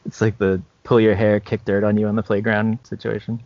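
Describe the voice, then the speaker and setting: A man with a low voice chats as a podcast co-host. He speaks casually, in halting phrases with pauses. The sound is dry and slightly dull. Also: level tone, dry recording. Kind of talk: casual chat about tabletop roleplaying and comics.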